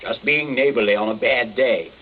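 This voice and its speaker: An actor playing a bluff, hearty British captain in an old-time radio drama, with a clipped, blustering delivery. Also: male voice, mid-range voice, moderate pace, lightly acted. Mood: breezy, hearty. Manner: clipped, blustering, repeats himself. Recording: dull, noisy vintage radio recording.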